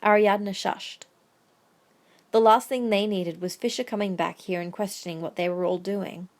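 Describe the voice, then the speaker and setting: A woman narrates an audio drama in a medium-pitched voice. She reads scripted prose as the storyteller. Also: fairly even intonation, moderate pace.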